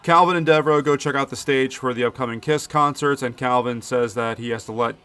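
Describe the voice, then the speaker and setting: A man's voice: mid-range voice, fairly quick, bright, forceful tone, clear, resonant tone. A man in his thirties from the Philadelphia area, recapping a movie in a chatty, jokey way full of puns. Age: 30s. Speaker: movie commentary host. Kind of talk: casual movie recap commentary.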